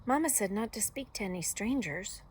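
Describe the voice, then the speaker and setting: An innocent storybook little girl acted by a woman, polite and earnest. Her lines lilt with wide-eyed trust and lively pitch. Faint noise sits behind her. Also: normal speed, natural voice. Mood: timid.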